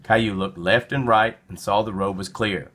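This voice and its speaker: A man narrating a children's storybook in an expressive read-aloud style. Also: lowish voice, moderate pace.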